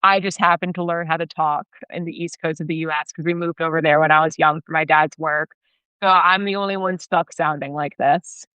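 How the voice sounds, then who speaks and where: A woman's voice: low voice, steady tone, fairly quick, pushed and bright, smooth, no echo, rough recording. A young adult woman from Australia with a Boston American accent, chatting enthusiastically as a guest author. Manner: chatty, enthusiastic, self-deprecating. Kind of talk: casual interview chat.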